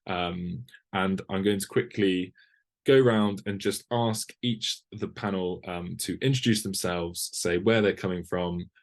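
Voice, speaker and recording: Gravelly, low-pitched male panel host. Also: fairly even intonation, a little slow. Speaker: host, moderator. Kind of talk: hosting an online panel. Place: online video call.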